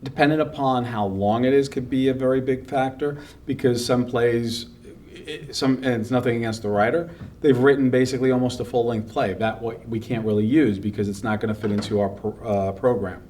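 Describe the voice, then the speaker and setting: A man's voice: mid-range voice, fairly even intonation, brisk, a little mellow, recorded from a distance, slight background noise. Wordy explanatory man, slightly off-mic. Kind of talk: casual interview talk.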